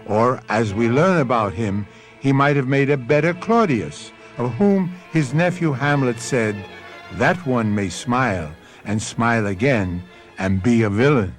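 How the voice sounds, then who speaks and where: A man's voice: medium pitch, lively intonation, a little slow. A man narrating a radio mystery as host, urbane, sardonic and theatrical in his delivery. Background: music.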